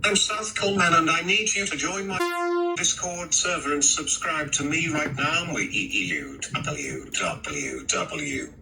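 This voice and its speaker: A man's voice: on the high side, very animated, measured pace, sharp tone, faint music behind. A man's text-to-speech voice delivering comic interjections.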